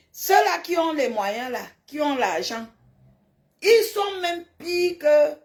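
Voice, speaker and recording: Woman, rather high voice, very unhurried pace, blunt live-stream chat, emphatic repetition. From francophone Africa, grew up in a village. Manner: blunt, scolding, emphatic, repeats herself. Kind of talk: casual live stream chat, replying to viewers' comments.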